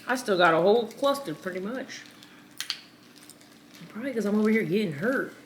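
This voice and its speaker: A woman's voice: mid-range voice, expressive, a little fast, background noise. A young woman vlogger chatting casually and teasing over a seafood meal, with a playful drawl. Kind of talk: casual mukbang chat.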